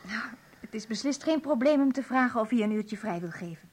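A woman's voice: fairly high pitch, lively intonation, a little fast. An actress playing a proud, insistent woman pressing her partner in a radio drama, with a challenging, sarcastic edge. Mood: confiding. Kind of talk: radio play acting.

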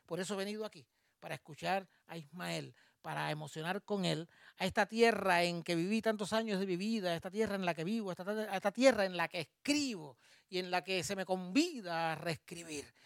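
A man's voice: sits high, brisk, creaky, no room sound, studio quality. A man with a Cuban accent presenting a concert, moving from a spoken introduction into playful, rhythmic improvised rhyming verse with self-mocking asides. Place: small music bar venue.

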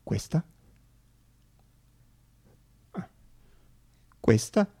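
A man playing an excitable, flustered eye doctor who stammers and repeats himself in a radio stage monologue. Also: drawn-out words. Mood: nervous, fussy.

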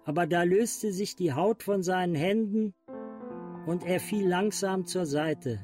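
A man's voice: sits high, unhurried. A man acting as a sombre witness, narrating a bleak account in a radio drama. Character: male witness recounting devastation, bleak, sombre. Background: music.

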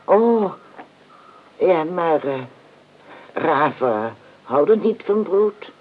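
An actress playing a guarded, loyal housekeeper in a radio play, speaking in a wary, halting way. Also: female voice, low-pitched, very animated, slight background noise, exaggerated voice. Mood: hesitant. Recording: tinny, phone-like old radio recording.

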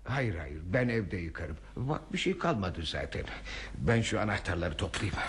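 A man's voice: low voice, very animated, normal speed. An actor playing an older man, courteous, hesitant and childishly eager, in a radio drama. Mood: bashful politeness. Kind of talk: Turkish radio theatre acting. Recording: noisy old radio recording.

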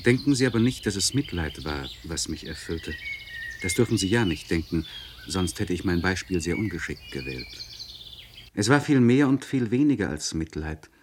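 An actor playing an anguished, articulate nobleman in a literary radio play monologue, speaking in a solemn, searching manner with deliberate phrasing and elevated diction. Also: sits low, big pitch swings, noisy background. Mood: earnest. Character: anguished, articulate nobleman confiding by letter to a friend.

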